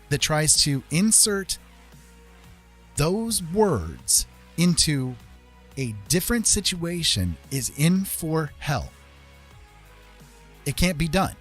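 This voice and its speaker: A man hosting a casual livestream, talking in an emphatic, opinionated, conversational way and often checking in with the listener. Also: fairly high pitch. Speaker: livestream host.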